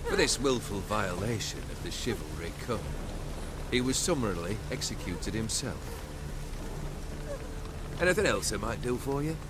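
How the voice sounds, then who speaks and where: An actor playing a callous noble bandit leader in a fantasy game, speaking formally with cold hauteur. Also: male voice, on the low side, very animated, normal speed, pauses often. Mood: cold, haughty. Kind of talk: cinematic fantasy game acting.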